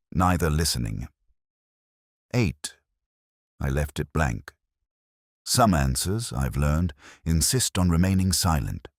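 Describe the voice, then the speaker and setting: A man giving a measured, reflective first-person narration of a detective story in a literary style. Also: extremely low pitch, no echo, studio quality.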